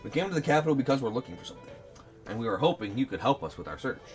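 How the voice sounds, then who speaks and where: Animated male let's play host. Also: medium pitch, rapid-fire. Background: game music.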